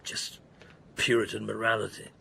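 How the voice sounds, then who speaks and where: Tired middle aged detective telling his case, gravelly, radio play, bit of background noise. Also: lowish voice, completely flat delivery, moderate pace, pushed and bright, heavily acted. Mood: reflective, brooding. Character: weary, dogged middle-aged male police detective recounting a case. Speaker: narrator and lead character. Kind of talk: radio drama acting, first-person narration.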